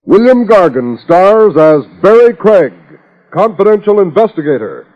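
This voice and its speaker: A man's voice: sits high, sing-song, a little slow. A man announcing a drama programme in formal, theatrical 1950s radio style. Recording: dull old radio transcription with music.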